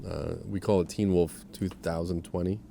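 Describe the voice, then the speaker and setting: An American man chatting casually as a podcast co-host, low-key and laconic, often with short repeated agreements. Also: steady tone, moderate pace, vocal fry, dark tone, a little hiss or hum. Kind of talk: casual chat.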